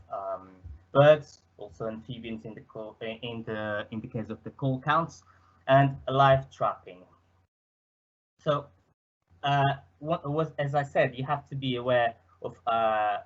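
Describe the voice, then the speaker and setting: A man's voice: medium pitch, highs cut off. A young man from Portugal with a Portuguese accent, presenting slides in a hesitant way, full of fillers and restarts, speaking into a headset. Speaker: student presenter.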